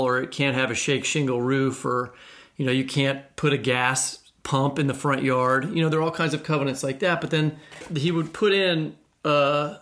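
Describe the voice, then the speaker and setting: An American man co-hosting a podcast, explaining things conversationally with halting false starts. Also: on the high side, a bit bright. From Kansas City, United States. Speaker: podcast co-host and novelist.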